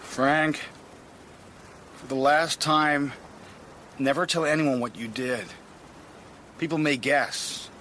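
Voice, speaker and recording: A man's voice: fairly high pitch, fairly even intonation, takes it slowly, long pauses, pushed and bright, a little hiss or hum. An actor playing a guarded, secretive older man who mentors a troubled boy, in naturalistic radio drama style. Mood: firm, cautioning.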